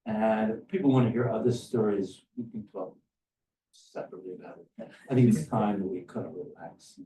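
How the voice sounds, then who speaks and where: An older man giving informal, rambling remarks to a gathering, often halting and restarting his phrases. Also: on the low side, steady tone, dark tone. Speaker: academic philosopher and speaker at a conference. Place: echoey room at a conference. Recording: roomy, distant microphone, faint background noise.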